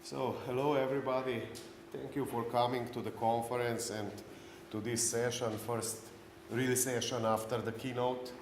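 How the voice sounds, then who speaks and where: A man's voice: mid-range voice, little pitch movement, moderate pace. Slovenian-accented man in an echoey hall. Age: middle-aged. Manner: dry self-deprecating humor, many "uh" fillers. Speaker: conference speaker. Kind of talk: technical conference talk. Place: large conference hall. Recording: echoey hall with faint noise.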